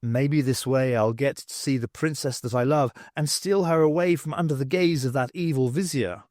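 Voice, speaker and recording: A man's voice: medium pitch, moderate pace, a little mellow, dry recording, clean studio sound, close to an everyday voice. A man voicing a plucky woman who poses as a pantomime boy and talks in sing-song rhyme. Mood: conspiratorial.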